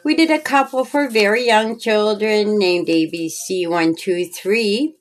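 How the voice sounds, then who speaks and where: A woman's voice: medium pitch, a little slow. A woman hosting a storytime and reading her own children's story aloud, speaking simply and directly to young listeners. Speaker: children's story author and reader.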